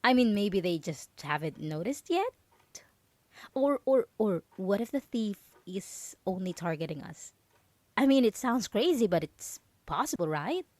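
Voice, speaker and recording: Possessive roommate, female woman acting: ASMR roleplay, unhurried and hesitant, falsely innocent and nervously sweet, very dry recording. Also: mid-range voice, very animated, a little mellow, no room sound. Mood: feigned concern. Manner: halting, nervous, giggly, hesitant with many trailing pauses. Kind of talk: ASMR roleplay audio drama monologue.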